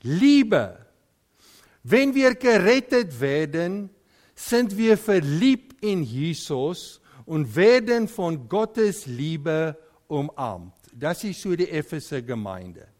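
Slow Afrikaans-accented preacher, lively pitch. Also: male voice. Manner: halting, searching for German words. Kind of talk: sermon.

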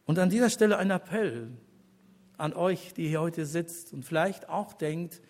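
An older man, a church elder, giving an earnest personal testimony as a sermon, speaking deliberately with pauses and rising to emphatic appeals to the congregation. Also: a little high, darkish tone.

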